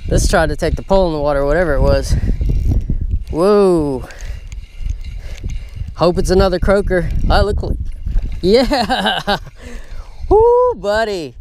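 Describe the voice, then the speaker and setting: A man hosting a fishing vlog outdoors, talking to viewers in an excited, casual way as he fishes. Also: high voice, slow, long pauses. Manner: excited, talks straight to viewers. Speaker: fishing channel host. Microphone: handheld camera mic. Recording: outdoor camera audio, clear background noise.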